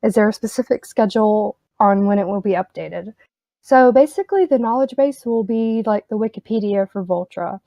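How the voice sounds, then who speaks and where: A woman's voice: medium pitch, moderate pace, mellow, dry recording. A woman on community staff, reading out and answering questions casually, joking between answers. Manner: casual, joking, with light laughs.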